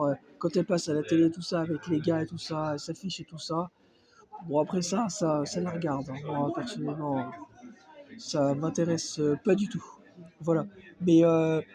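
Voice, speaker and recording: A man's voice: a little high, warm tone. A man with a cold, talking to his phone in a casual, hesitant vlog full of fillers and restarts. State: has a cold. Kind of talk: casual reaction vlog monologue. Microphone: phone not held, off-camera.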